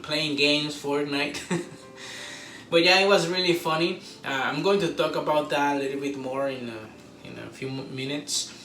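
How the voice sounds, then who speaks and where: A man hosting a casual, unscripted movie review, talking like an excitable fan with drawn-out exclamations. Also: fairly high pitch, distant mic. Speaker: host of a movie review show. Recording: roomy echo, off-mic, faint background noise.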